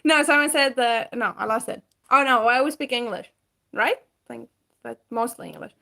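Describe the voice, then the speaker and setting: A young woman whose first language is Greek talks loosely on a homestead livestream, laughing often and letting her sentences drift off. Her voice sits high in its register. She chats from a farmyard and then from inside the house. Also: moderate pace, vocal fry, bright, forceful tone. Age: 20s. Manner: chatty and giggly, trailing off mid-sentence. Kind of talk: casual livestream chat. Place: rural farmstead, outdoors then indoors.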